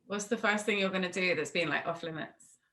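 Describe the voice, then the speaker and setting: Low-voiced British woman, chatty interviewer. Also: a little fast, sharp tone. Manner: chatty, informal, encouraging. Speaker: interviewer and host. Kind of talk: casual interview chat. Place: remote video call.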